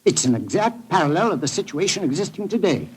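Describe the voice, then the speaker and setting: Excitable, animated gentleman. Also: high-pitched, fast, breathy edge. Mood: amazed. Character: earnest, amazed gentleman helping a detective. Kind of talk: 1940s radio acting. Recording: noisy old 1940s radio broadcast.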